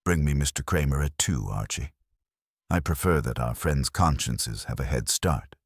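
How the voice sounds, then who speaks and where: A man's voice: extremely low pitch, expressive, normal speed, breathy edge, dry recording, studio quality, voice pushed down, full character voice. A man voicing an imperious, cerebral detective in an audiobook, speaking in formal, precise pronouncements. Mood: commanding. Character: imperious, cerebral male detective, measured and stern.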